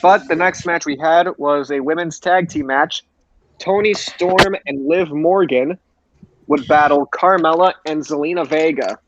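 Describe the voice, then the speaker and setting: A man's voice: on the high side, unhurried, a little hiss or hum. A man co-hosting a podcast, giving an informal recap on a lo-fi recording. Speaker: podcast co-host. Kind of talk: informal recap of wrestling show.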